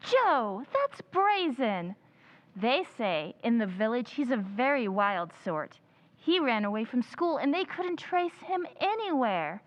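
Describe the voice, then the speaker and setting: A woman's voice: fairly high pitch, sing-song, takes it slowly. A woman playing a proper, easily shocked young lady, the eldest sister, in an old-time radio play with prim, dramatic delivery. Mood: prim, scandalized. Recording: dull lo-fi sound.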